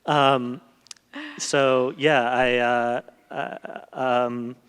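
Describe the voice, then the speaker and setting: A man's voice: mid-range voice, level tone, very slow, fairly bright tone, clean tone. A middle-aged man, a guest translator, speaks in a thoughtful, hesitant way in long winding sentences in an unscripted on-stage conversation. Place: large event hall stage. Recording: echoey hall with long reverb.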